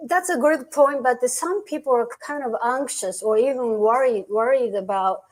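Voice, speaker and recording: Woman panelist, fairly high voice, tentative phrasing. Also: normal speed, low-quality recording. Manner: tentative, searching for words. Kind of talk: discussion panel.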